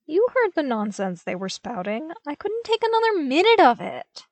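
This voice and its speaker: Woman voicing an animated, exasperated young man. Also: high-pitched, moderate pace, dry recording, voice pushed up. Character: young man, earnest, exasperated, easily baffled. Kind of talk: audiobook character acting.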